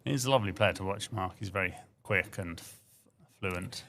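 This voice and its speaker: A man's voice: deep voice, normal speed, vocal fry, bright, forceful tone. A man giving casual, chatty sports commentary on a livestream, with self-deprecating asides and frequent restarts.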